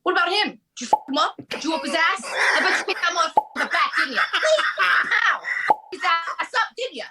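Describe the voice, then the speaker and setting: A woman playing a brash, boastful, streetwise male carjacker in a comic table read, loud, swaggering and profane. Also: very high-pitched, lively intonation, fairly quick, heavy background noise. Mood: animated, boastful.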